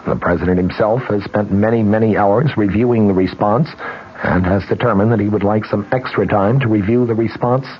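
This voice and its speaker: An American man reading scripted radio news in a measured, formal manner. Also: fairly low pitch, a little hiss or hum. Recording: dull 1970s radio broadcast recording.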